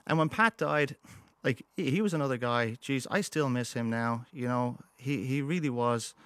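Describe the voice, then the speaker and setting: A man's voice: mid-range voice, normal speed, a bit bright, clean tone, dry recording. Man, mixed irish-american accent, rambling storyteller, dry close sound. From United States, moved to Ireland. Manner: rambling, many restarts and fillers. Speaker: guest, musician. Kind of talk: casual interview storytelling.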